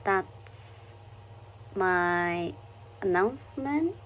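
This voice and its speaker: Slow, soft-spoken Japanese-accented woman. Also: on the low side, animated, a little hiss or hum, poor audio. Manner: thoughtful, searching for words with many fillers and restarts. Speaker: vlogger. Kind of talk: reflective personal vlog talk. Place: living in London.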